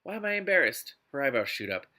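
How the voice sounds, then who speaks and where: Male reader-commentator, forceful bright tone, exasperated and emphatic delivery. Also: mid-range voice, big pitch swings, fast. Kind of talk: scripted reading broken by indignant commentary.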